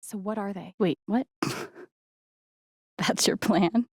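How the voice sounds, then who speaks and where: Young game heroine, friendly n wry, chatty natural delivery, very dry recording. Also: female voice, medium pitch, drawn-out words, slightly rough, no echo, full character voice. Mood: wry, teasing. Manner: casual, conversational, gently teasing. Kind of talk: naturalistic video game dialogue acting.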